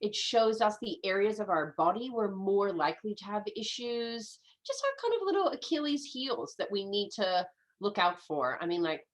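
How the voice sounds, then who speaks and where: A woman's voice: medium pitch, very animated, moderate pace. A woman in her fifties with an American accent, nasal and a little stuffy from a head cold, chatting enthusiastically as an interview guest. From United States. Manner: chatty, enthusiastic, restarts often. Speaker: guest, medical astrologer. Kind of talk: casual podcast interview.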